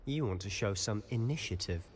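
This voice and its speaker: An actor playing a sly, friendly young student in a video game, casual and self-assured. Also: male voice, fairly low pitch, animated, moderate pace, slight background noise, lightly acted. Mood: curious, conspiratorial. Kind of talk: video game character acting. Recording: long reverb, faint ambience.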